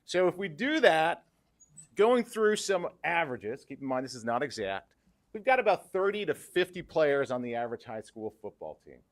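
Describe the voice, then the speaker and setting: Loud, animated middle-aged man. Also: a little high, normal speed. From Western New York. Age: 50s. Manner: loud, animated, numbers-driven speech. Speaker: parent speaking at public comment. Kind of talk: public comment at a board meeting. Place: school board meeting room. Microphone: podium mic, stepped back from it.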